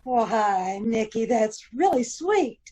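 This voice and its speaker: A woman's voice: fairly high pitch, sing-song, slow, warm tone, heavily acted. A woman acting a teasing, affectionate detective's wife in an amateur old-time radio play. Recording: dull, muffled recording.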